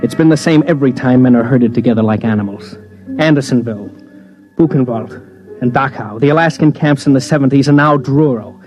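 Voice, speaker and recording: A man's voice: medium pitch, a little fast, lightly acted. An actor playing a cynical, wary newsman who narrates a 1950s radio drama in a terse, hard-boiled way. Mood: grim, wry. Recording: old radio recording with music underneath.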